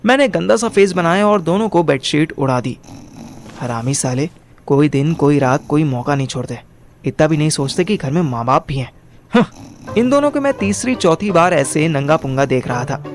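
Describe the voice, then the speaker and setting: A man's voice: a little high, animated, normal speed, a little hiss or hum. A young man narrating a first-person audio drama in colloquial Hindi mixed with English words. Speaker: narrator and lead character.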